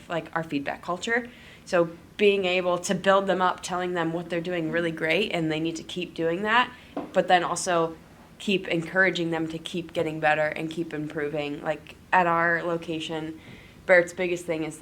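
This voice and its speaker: A woman's voice: lowish voice, fairly bright tone, slight background noise. A woman fitness coach speaking earnestly and encouragingly in a roundtable chat.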